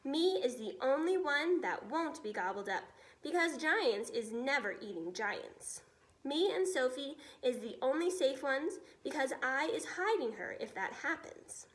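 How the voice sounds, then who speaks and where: A woman's voice: high-pitched, very animated, measured pace. A woman voicing a friendly, self-assured male giant who talks in muddled folksy grammar for a storybook. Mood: amused warning.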